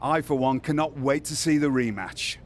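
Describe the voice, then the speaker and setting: A man playing a sly, genteel British general manager in a wrestling video game, formal and smoothly condescending. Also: fairly high pitch, normal speed, a little hiss or hum, close to an everyday voice. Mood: genial, sly. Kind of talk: video game cutscene acting.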